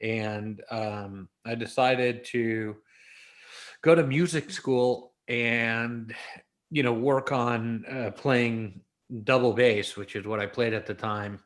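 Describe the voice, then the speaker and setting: A man's voice: medium pitch, fairly even intonation, takes it slowly. A man from Los Angeles with an American accent, talking casually and informally as an interview guest, in a storytelling way. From Los Angeles, United States.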